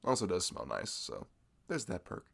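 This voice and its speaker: Deep, gravelly young man who giggles a lot and chats with a lively lilt. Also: normal speed. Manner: chatty, giggly, friendly shopkeeper talk. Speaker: ASMR roleplay creator. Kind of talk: scripted audio roleplay, chatty.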